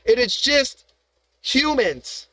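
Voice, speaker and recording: A man with a fairly high voice hosts a live stream and talks in a heated, rambling rant. He swears freely, restarts his sentences and keeps throwing rhetorical questions at his viewers. The sound is dry and close, with no room around it. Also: sing-song, extremely slow, bright, forceful tone, dry recording.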